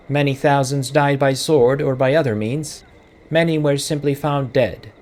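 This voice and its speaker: A man with a Czech accent, a native Czech speaker, hosting a let's play in casual commentary, reading game text aloud and musing through self-corrections as he thinks aloud. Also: a little high.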